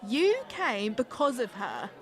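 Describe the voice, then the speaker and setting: A woman's voice: a little high, huge pitch swings, takes it slowly, full character voice. An actress playing a manipulative, theatrical young girlfriend in a radio drama, gushing one moment and self-pitying the next. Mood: accusing. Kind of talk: naturalistic radio drama acting.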